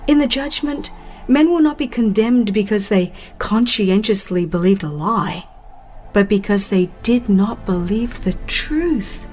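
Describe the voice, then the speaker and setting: A woman reading scripted religious narration as an audiobook, with solemn, deliberate and dramatic phrasing. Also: medium pitch, darkish tone.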